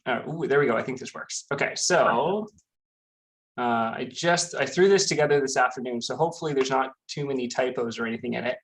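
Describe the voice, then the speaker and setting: A man's voice: medium pitch, fairly quick. A Canadian man giving a casual online talk as a guest scientist, often restarting and repeating words. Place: home near a busy street. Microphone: computer mic on a video call.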